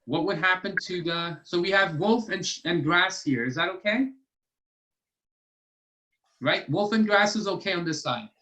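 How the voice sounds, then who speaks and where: A man's voice: high-pitched, normal speed, long pauses, sharp tone, rough recording. A man hosting a children's storytime and trivia over a video call, explaining step by step in a patient, encouraging way. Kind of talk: casual teaching to children.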